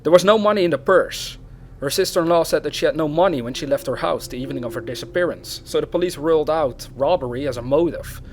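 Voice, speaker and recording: A man's voice: fairly high pitch, fast talker, darkish tone, slight background noise. A man narrating true crime as host of the series, reading aloud in a storytelling format. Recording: music behind the voice. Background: background music.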